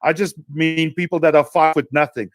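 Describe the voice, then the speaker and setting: A man's voice: on the high side, steady tone, fairly quick, no room sound, clean studio sound. A man co-hosting an interview, telling rambling stories with hesitant fillers. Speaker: co-host. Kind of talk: casual interview chat.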